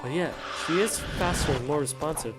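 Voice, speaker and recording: A man's voice: a little high, a little fast. Guy doing a casual let's play, talking to himself as he goes, music and rain behind him. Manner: musing aloud, reacting to the game. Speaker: let's player. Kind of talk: casual gameplay commentary.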